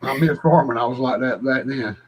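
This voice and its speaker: A man's voice: mid-range voice, fairly quick, slightly rough, low-quality recording. A man co-hosting a casual livestream, talking in a laid-back, folksy way with short interjections. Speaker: livestream co-host. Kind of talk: casual chat.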